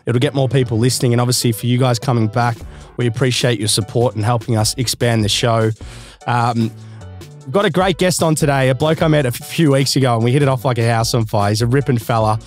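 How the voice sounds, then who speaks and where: An Australian man in his thirties hosts a relaxed podcast, chatty and quick to laugh, with plenty of matey slang. His voice sits in the middle of the range and comes through a close boom mic in a small studio, with faint music underneath. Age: 30s. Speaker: podcast host. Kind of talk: casual podcast interview. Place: small studio room with couch. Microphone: boom-mounted podcast mic.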